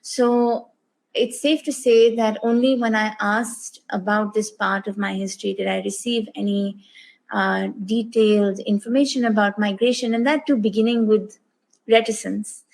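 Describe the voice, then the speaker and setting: A woman's voice: medium pitch, fairly even intonation, moderate pace, creaky, a little mellow. Thoughtful woman, author on a panel. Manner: thoughtful, articulate, hesitant fillers. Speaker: oral historian guest, author. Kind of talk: panel discussion answer.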